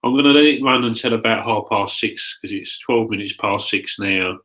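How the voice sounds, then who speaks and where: A man's voice: on the low side, normal speed, a bit bright, low-quality recording. A young man with a stuffed-up, congested voice, casually chatting to viewers in a live hangout, rambling with fillers and restarts. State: congested, stuffed-up nose and throat. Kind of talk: casual solo chat to viewers.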